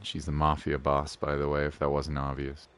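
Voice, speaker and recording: A man's voice: very deep voice, completely flat delivery, moderate pace, a bit bright, clean tone. A man giving casual live game commentary as a streamer, with a slangy, joking, laid-back way of talking.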